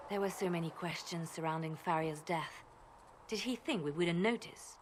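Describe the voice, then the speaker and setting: A woman voicing a coolly ruthless, secretive spymaster in a video game, controlled and deliberate. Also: fairly low pitch, a little hiss or hum, close to an everyday voice. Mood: grave, cold. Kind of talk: video game character acting.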